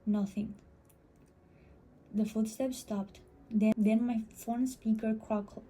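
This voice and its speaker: A woman's voice: fairly high pitch, steady tone, slow pace, mellow. A woman reading a scripted first-person horror story as a storyteller.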